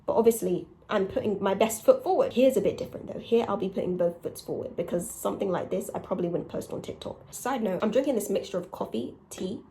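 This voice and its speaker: A young woman with a raspy, softly dark voice speaks as a solo podcast host. Her delivery is casual and confessional, like frank conversation. She stresses her points in a candid, emphatic way, at a middling pitch. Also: quick pace, few pauses, a little hiss or hum, rough recording. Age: young adult. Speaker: podcast host and content creator.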